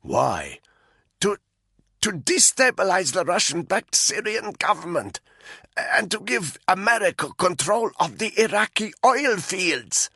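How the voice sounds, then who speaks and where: A terrified female informant croaks out her words through a rope around her throat, as a male narrator puts her on. Her voice is strained and breathless and climbs very high. She sounds pleading and panicked, and her voice is husky and dry. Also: very animated, a little slow, pushed and bright, no echo, heavily acted. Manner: breathless, pleading, rising to cries. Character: frightened female informant, choking under a rope.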